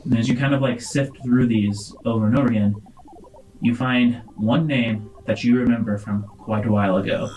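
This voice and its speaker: A man running a tabletop roleplaying game, telling the story to the players in a halting, deliberate way. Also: medium pitch, steady tone, darkish tone, a little hiss or hum, poor audio. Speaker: game master.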